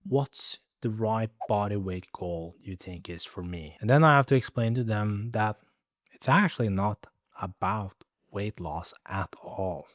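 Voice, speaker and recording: A fitness coach talking straight to camera in a scripted video, direct with a dry, joking manner. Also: male voice, lowish voice, a little slow, no echo.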